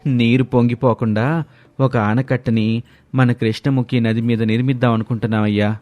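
A man's voice: mid-range voice, flat delivery, normal speed, darkish tone, no echo, natural voice. An actor playing a deferential, persuasive townsman in a cartoon moral story. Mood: polite, coaxing.

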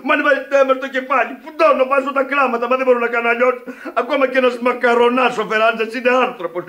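An actor in a radio play as a proud but hapless Sicilian truck driver, emotional, ashamed and apologetic. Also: male voice, very high voice, steady tone, sharp tone. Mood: aggrieved.

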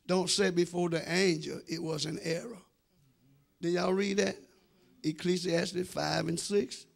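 A man's voice: sits high, steady tone, a little slow, creaky. A middle-aged American man preaching a sermon emphatically, drawing out phrases and addressing his congregation directly. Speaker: senior pastor preaching. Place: church sanctuary before a congregation. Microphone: handheld microphone.

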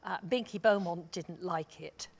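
A British woman in her sixties speaking thoughtfully on a discussion panel, with a measured, wry, reflective manner. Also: lowish voice, gravelly. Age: 60s. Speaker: panelist, drama scholar. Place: stage panel before dark curtains, indoors.

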